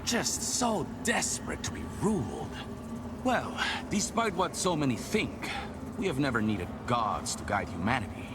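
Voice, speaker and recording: Sneering haughty game villain. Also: male voice, mid-range voice, very animated, unhurried, noisy background. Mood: contemptuous. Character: scornful power-hungry male villain, cold and haughty. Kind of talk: video game voice acting.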